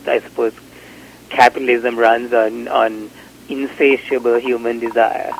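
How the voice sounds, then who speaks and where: A man's voice: mid-range voice, level tone, a little slow, gravelly, low-quality recording. A man from Sri Lanka, a novelist, answering interview questions in a reflective, hesitant way with many fillers and restarts.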